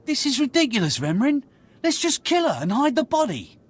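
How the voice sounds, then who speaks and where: Raspy, swooping thuggish henchman. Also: extremely high pitch, wildly swooping pitch, brisk, exaggerated voice. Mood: impatient. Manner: sneering, bullying. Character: thuggish, hot-headed male Sith henchman. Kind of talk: dramatic video game dialogue.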